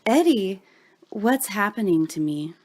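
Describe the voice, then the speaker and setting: A woman's voice: mid-range voice, lively intonation, unhurried, a little mellow. A woman playing a besotted young wife in a horror comic drama, speaking in a theatrical, melodramatic way. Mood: softening, smitten. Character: besotted young wife, cold at first, then gushing with adoration.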